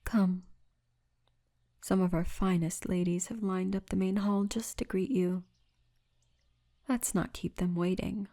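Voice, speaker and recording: A woman's voice: mid-range voice, steady tone, a little slow, pauses often, mellow, natural voice. A woman playing a poised, seductive orc tour guide in an ASMR fantasy roleplay, teasing and often half-whispering. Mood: inviting, teasing.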